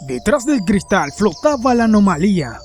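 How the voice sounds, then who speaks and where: A man's voice: high-pitched, very animated, moderate pace, a little mellow. A man narrating a scripted, dramatic story. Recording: faint music behind. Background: background music.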